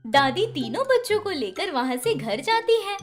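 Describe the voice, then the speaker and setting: A woman's voice: very high voice, very animated, moderate pace. A woman narrating a scripted children's story as the storyteller. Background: music.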